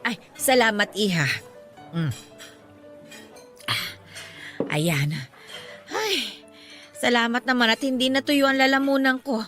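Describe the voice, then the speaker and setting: A bright, animated, jolly older mother. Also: a little high, takes it slowly, long pauses, soft background music. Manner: expressive, chatty, exclamatory. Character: older mother, jolly, teasing, doting, playfully scolding. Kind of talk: radio drama dialogue.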